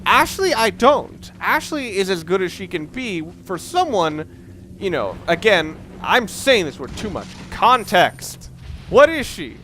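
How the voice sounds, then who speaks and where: A man giving casual, opinionated gameplay commentary, rambling and halting as he goes, with casual swearing. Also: sits high, big pitch swings, bright, forceful tone, a little hiss or hum. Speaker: let's play gamer commentator.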